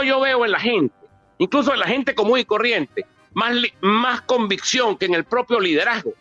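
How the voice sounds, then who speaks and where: A man with a Venezuelan accent speaks in a high, bright and forceful voice. He gives impromptu political commentary in an emphatic, oratorical way, stacking up repetitions. The sound is dry. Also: no echo, muffled recording. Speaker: political analyst and author speaking at a book presentation.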